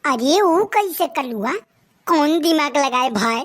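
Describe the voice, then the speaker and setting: A woman's voice: high voice, animated, slow pace. A woman with an Awadhi accent playing a wary, complaining young male village sidekick in a comic cartoon. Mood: doubtful. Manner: doubtful, complaining.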